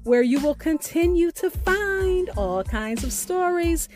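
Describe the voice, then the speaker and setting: A woman hosting a podcast, reading a scripted sign-off in a deliberate, emphatic way. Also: very high-pitched, expressive, darkish tone, faint music behind. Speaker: podcast host.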